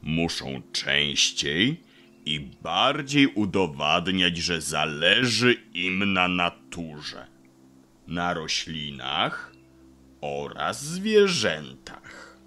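Wise old talking tree played by a man: low, forceful, knowing, for a children's audio play. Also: big pitch swings, exaggerated voice. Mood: serious. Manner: slow, measured, all-knowing, pompous.

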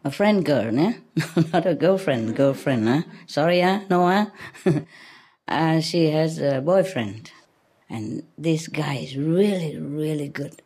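A chatty middle-aged woman speaking in a lowish, mellow voice to a group gathered around her. She laughs often and trips over her own sentences, close on a desk mic. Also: expressive, takes it slowly, breathy edge. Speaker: spiritual teacher. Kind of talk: informal talk to a seated group. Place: room with paper screens, audience in a hall. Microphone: desk mic on a stand.

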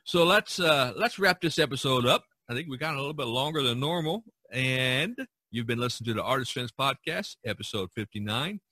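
A man's voice: medium pitch, animated, brisk, few pauses, bright, forceful tone, dry recording. A man hosting a casual podcast chat among artist friends, rambling a little and repeating his phrases. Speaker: podcast host.

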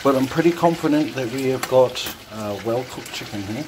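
A man casually narrating his home cooking, relaxed and chatty with frequent ums, filmed on a phone over the stove. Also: medium pitch, normal speed. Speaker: home cook vlogger. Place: home kitchen. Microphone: phone camera held over the stove. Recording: noisy home kitchen phone recording. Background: sizzling and bubbling pots.

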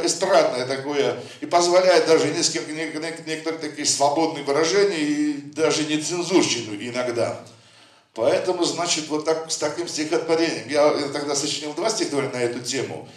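A man presenting his poetry book, reciting verse with a rhythmic cadence and chatting loosely between poems. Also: a little high. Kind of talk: poetry reading with anecdotes. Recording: echoey room, voice from a distant mic.

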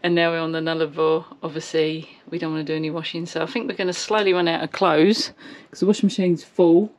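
A woman's voice: low-pitched, level tone, fast talker. A woman chatting informally to camera as a travel vlogger, recorded on a nearby camera. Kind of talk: casual chat to camera. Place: mostly outdoors on open clifftop.

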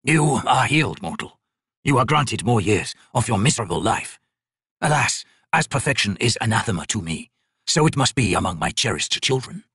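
A man voicing an ancient, sickly male god, speaking slowly and gravely with a sneer. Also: medium pitch, sing-song, fairly bright tone, no room sound, studio quality, full character voice. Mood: cruel.

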